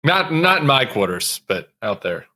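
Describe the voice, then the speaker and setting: A man's voice: medium pitch, sing-song, moderate pace, sharp tone. A man on a panel trading casual banter in a playful, teasing way.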